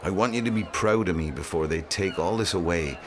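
A man's voice: low voice, fairly quick, background noise, lightly acted. A man voicing a drawling working-class hangman, a reassuring father instructing his son in a dramatised reading. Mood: proud, instructing.

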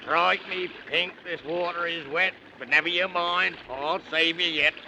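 A man's voice: on the high side, fairly even intonation, measured pace, creaky. A man playing a gallant, jolly walrus with hearty sailor talk in a 1930s children's radio play. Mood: urgent, hearty. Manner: hearty, colloquial nautical rhymed talk. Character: gallant, jolly old-salt walrus, helpful and blustery. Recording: noisy 1930s radio recording.

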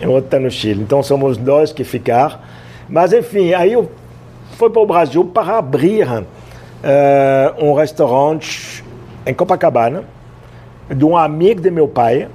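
A man in his sixties from France, a chef speaking Portuguese with a French accent, telling stories in a relaxed interview with many fillers. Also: on the high side, a little slow, husky. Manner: storytelling, hesitant with many fillers, laughs easily. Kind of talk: casual interview talk.

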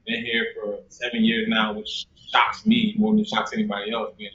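A man's voice: medium pitch, normal speed, gravelly. A young man from South Carolina, a talkative guest who laughs often and fills his speech with ums, heard over a video call. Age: young adult. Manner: chatty and animated, laughs often, many fillers. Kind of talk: conversational interview. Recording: echoey room, muffled audio, faint noise.

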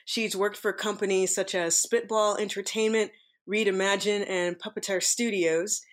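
Woman, podcast host, upbeat, friendly, mid-pitched. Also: steady tone, moderate pace. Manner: upbeat, friendly scripted intro. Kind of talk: podcast interview hosting.

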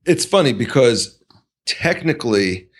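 A man speaking as a podcast guest, talking in a deliberate, halting way with many pauses and restarts. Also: medium pitch, big pitch swings. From United States. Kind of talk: casual conversational interview.

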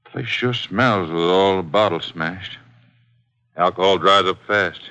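A man's voice: low voice, a little slow, sharp tone, clean tone, highs cut off. An actor playing a plainspoken, careful small-town lawman in a 1950s radio crime drama, with clipped, folksy, matter-of-fact lines.

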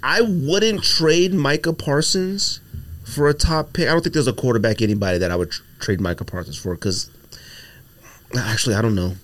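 A man's voice: mid-range voice, moderate pace, a bit bright. A man co-hosting a sports podcast, giving blunt opinions in casual talk and hammering them home by repeating himself. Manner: emphatic, repetitive, blunt, profane.

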